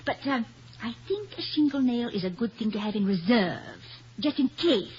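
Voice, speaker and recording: An actress as a coaxing, charming wife, very animated. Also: female voice, on the high side, moderate pace, natural voice. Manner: soothing, coaxing, gracious. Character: charming, coaxing, playfully wry middle-aged wife. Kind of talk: 1950 American radio comedy acting. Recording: muffled, noisy old radio broadcast recording.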